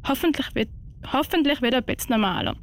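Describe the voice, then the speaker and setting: A woman's voice: high voice, expressive, quick pace. A woman with a Swiss German accent presenting a radio show from a studio.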